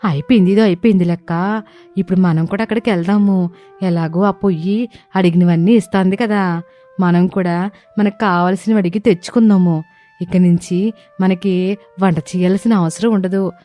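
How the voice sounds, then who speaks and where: A woman's voice: fairly low pitch, steady tone, normal speed, pauses often, dark tone, faint music behind, close to an everyday voice. A woman playing a greedy younger village sister-in-law in a moral story, in a wheedling manner. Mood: scheming, eager. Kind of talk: acted moral story dialogue.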